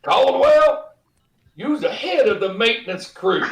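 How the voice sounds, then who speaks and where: A man plays a blustering, easily flustered boss in a radio comedy. His creaky voice swings up and down in a roomy echo as he sputters and halts through rough grammar. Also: extremely high pitch, lively intonation, recorded from a distance, slight background noise, exaggerated voice. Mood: frantic, barking. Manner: halting, sputtering, old-fashioned ungrammatical speech. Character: flustered, blustering male boss, henpecked husband. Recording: roomy, echoing sound.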